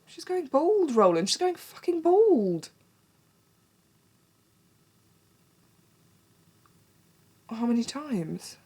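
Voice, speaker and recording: A young woman acting a scene as a partner confronting a cheater, sharp and sarcastic with curt replies. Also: high-pitched, big pitch swings, unhurried. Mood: incredulous. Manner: cutting, sarcastic, swearing. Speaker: drama graduate performing a scene.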